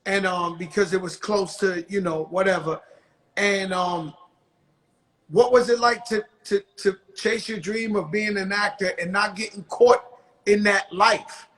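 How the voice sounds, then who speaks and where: Blunt New host, high voice. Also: male voice, steady tone, a little slow, fairly bright tone, poor audio. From South Bronx, New York. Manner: blunt street slang, addresses the guest with "yo". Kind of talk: casual interview.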